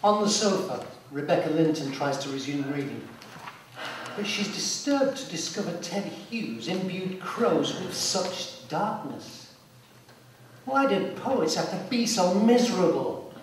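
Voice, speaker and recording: A man's voice: high voice, animated, measured pace. A man narrating a scripted dramatic story live in a theatre. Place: theatre, live performance recorded from a distance. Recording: very echoey hall, distant, loud background noise.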